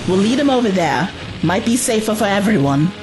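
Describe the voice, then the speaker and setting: A woman plays a resolute young adventurer's companion at a middling pitch. She sounds urgent yet reassuring, acting in audio drama style over prominent music. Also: lively intonation, a little fast, lightly acted.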